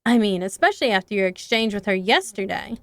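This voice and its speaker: A woman voicing a poised, friendly teenage club president who speaks calmly and a little teasingly. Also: mid-range voice, fast, dry recording, close to an everyday voice. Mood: friendly, knowing.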